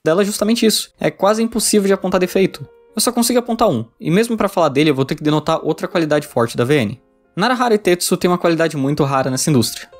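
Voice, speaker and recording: A man's voice: sits high, animated, fast. A Brazilian man narrating a scripted review as a video essayist, informal and opinionated. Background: background music.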